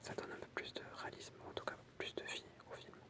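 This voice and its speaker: A person whispering in an ASMR style, reading out short pieces in a soft, explanatory way with casual asides. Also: fast. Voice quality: unvoiced, breathy whisper. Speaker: ASMR creator reading film trivia with commentary.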